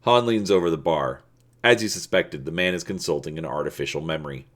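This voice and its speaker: A man hosting and dramatically reading an adventure story, deliberate and stretching words for emphasis. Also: rumbling low. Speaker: video host and storybook narrator.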